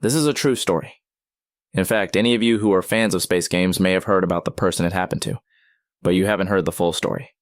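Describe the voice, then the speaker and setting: A man's voice: low-pitched, fast, no echo, clean studio sound, lightly acted. An actor playing a man who narrates an eerie first-person account about his programmer friend in an audio drama. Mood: confiding. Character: male storyteller recounting his programmer friend's eerie tale. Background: music.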